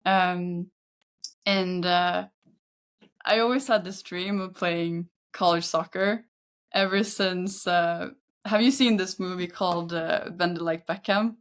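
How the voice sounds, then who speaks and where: A woman in her thirties speaks English with a Swedish accent, in a voice set a little low. She talks in a casual interview style, telling things in her own words as a guest. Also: steady tone, slow. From Sweden. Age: 30s. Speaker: podcast guest, football agent.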